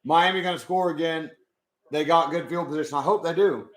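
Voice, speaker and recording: A young man with a hoarse voice, chatting casually with his live chat as he watches a football game. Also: fairly high pitch, brisk, reverberant, low-quality recording. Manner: chatty, swears, talks to chat. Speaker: live stream host.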